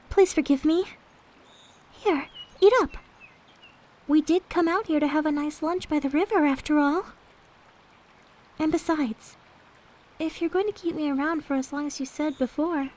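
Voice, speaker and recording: A woman playing a gentle, devoted young maid companion in an ASMR roleplay, speaking in a soft, polite, tender way. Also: high voice. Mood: flustered, caring. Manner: polite, tender, demure storytelling. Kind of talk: ASMR roleplay, soft intimate character acting.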